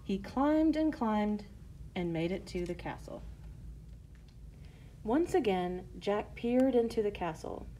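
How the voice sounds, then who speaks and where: A woman storyteller reading a scripted children's tale in measured phrases. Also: medium pitch, animated, warm tone, a little hiss or hum, poor audio.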